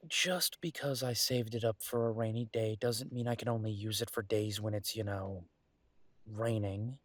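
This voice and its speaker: A man acting an affectionate, world-weary werewolf detective in an intimate audio drama, soft and coaxing with thoughtful pauses. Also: lowish voice, fairly even intonation, dry recording, natural voice.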